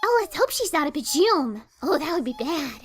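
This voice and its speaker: Bubbly party pony: extremely high, swooping, gushing cartoon delivery. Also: female voice, normal speed. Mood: earnest, anxious. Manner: excitable, gushing. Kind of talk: dramatic reading of a cartoon fan story.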